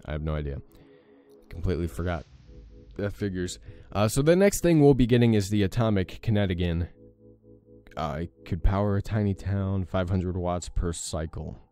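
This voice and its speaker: Young guy w/ deep morning voice, rambly let's play chat, smooth and clear. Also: lively intonation, moderate pace, mellow, faint music behind. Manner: rambling, many fillers, self-deprecating. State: morning voice with a crack. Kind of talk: casual gameplay commentary.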